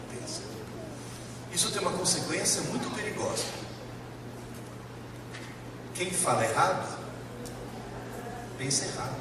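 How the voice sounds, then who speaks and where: Animated, high-voiced male lecturer in an echoey hall. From Italy. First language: Italian. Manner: emphatic, with dramatic pauses and repetition. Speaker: professor giving a lecture. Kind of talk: motivational educational lecture to a live audience. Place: large lecture hall with an audience. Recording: distant mic, echoey noisy hall.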